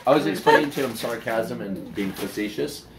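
A middle-aged man chatting and telling stories in a joking, animated way, recorded on a phone in a home kitchen. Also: medium pitch, moderate pace, echoey room, recorded from a distance. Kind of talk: casual chat, storytelling. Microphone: phone camera across the room. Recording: noisy crowded room chatter.

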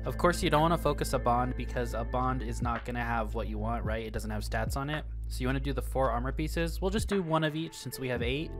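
A man's voice: mid-range voice, fast talker, hardly any pauses, over music. A man hosting a gaming channel, explaining gear and stats in a voice-over over gameplay, upbeat, repeating words for emphasis.

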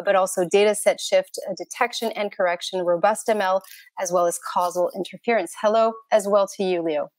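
A woman's voice: medium pitch, no echo. Female moderator, mid-range voice, formal presenter manner, dry close recording. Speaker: panel moderator. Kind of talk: hosting a panel discussion. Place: indoor room with curtain, video call. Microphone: wired earphones mic.

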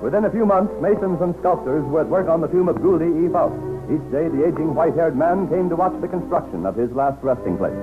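High-pitched dramatic 1930s radio announcer man. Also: lively intonation, a little fast, over music. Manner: declamatory, dramatic. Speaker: announcer and narrator. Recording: muffled 1930s radio broadcast recording.

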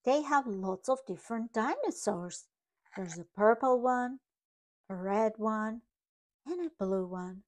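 A woman reading a picture book aloud to young children, in simple, deliberate phrases as a storytime host. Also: a little high, expressive, a little mellow.

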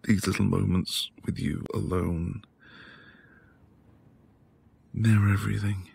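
A man acting as a devoted, flirtatious husband in an intimate ASMR roleplay, breathy and affectionate, often dropping toward a whisper. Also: low-pitched, flat delivery, darkish tone, exaggerated voice. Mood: tender, sincere.

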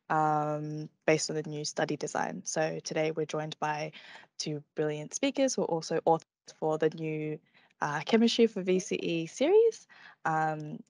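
Brief: woman webinar host, low voice, dry close recording, hesitant fillers. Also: darkish tone, dry recording. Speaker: publisher hosting a webinar. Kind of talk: webinar hosting. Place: home room, video call.

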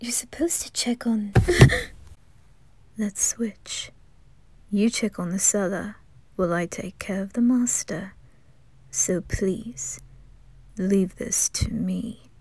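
A woman's voice: mid-range voice, slow pace, pauses often, a little mellow, slightly rough, dry recording. Woman playing a whispering insistent maid. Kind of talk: fan ASMR roleplay audio drama.

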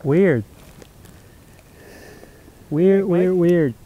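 A man's voice: high-pitched, extremely slow, narrow, tinny audio. A man bantering casually among shooters on an outdoor rifle range. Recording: noisy outdoor field with clicks.

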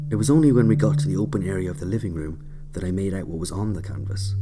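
A man narrating a scripted autobiographical story in a measured, dry way. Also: deep voice. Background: loud music.

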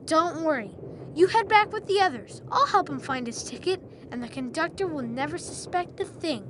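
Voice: very high voice, expressive, normal speed. A performer voicing a brave, earnest boy in a video game, with a determined manner. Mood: confident. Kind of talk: video game character acting. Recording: train noise behind.

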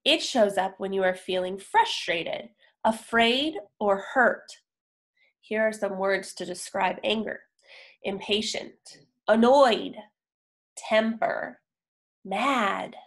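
A young woman reads a children's picture book aloud at a slow pace. Her pitch is average for a woman, and she sets words apart with careful, deliberate pauses. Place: indoor room, on a video call.

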